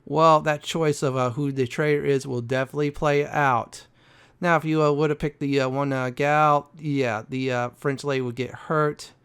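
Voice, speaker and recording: Smooth-voiced man, rambling game commentary. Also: on the high side, level tone, a bit bright. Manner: rambling, halting, explanatory. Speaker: host of a gaming walkthrough. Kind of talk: casual gameplay commentary.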